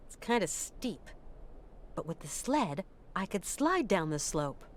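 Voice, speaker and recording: A woman's voice: medium pitch, sing-song, unhurried, slight background noise. An actress voicing a determined young woman adventurer in a game, speaking dryly and resolutely. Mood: musing. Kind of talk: game character dialogue.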